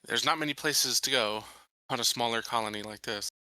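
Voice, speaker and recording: A man playing a tabletop roleplaying game, haltingly narrating what his character says. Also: medium pitch, pushed and bright, dry recording, low-quality recording. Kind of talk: casual chat, narrating his character's lines.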